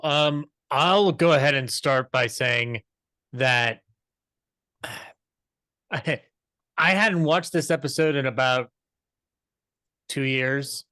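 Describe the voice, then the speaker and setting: A man's voice: mid-range voice, a bit bright, dry recording. A young man chatting enthusiastically as a podcast panelist, talking through his favorite moments of a show. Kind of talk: conversational show commentary.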